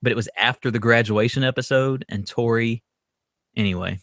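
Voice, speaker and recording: A male podcast co-host with a mid-pitched voice chats casually. The sound is dry, with little room on it. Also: fairly quick, a bit bright, dry recording. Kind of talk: casual chat.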